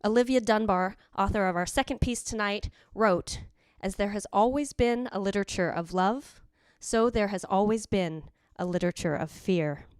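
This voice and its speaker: A woman's voice: medium pitch, normal speed, hardly any pauses, clear, resonant tone, no room sound, clean studio sound. A woman giving a scripted introduction as host of an old-time radio style drama show.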